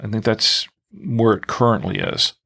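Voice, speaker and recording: A man co-hosting a podcast in a conversational style, with a halting delivery and frequent pauses. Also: on the low side, expressive, vocal fry, pushed and bright. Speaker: podcast co-host.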